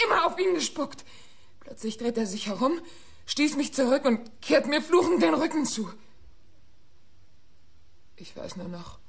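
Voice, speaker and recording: An actress playing a bitter, defiant jilted young woman suspect in a German radio crime drama, her voice husky. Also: sits high, heavily acted.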